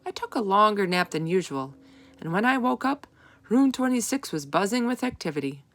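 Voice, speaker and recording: A woman reading a children's storybook aloud as narrator, with expressive, deliberate emphasis. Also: mid-range voice.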